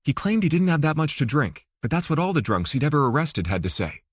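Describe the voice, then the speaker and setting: A man's voice: a little high, sing-song, fast talker, slightly rough, no echo, clean studio sound. A man reading scripted fiction as an audiobook narrator.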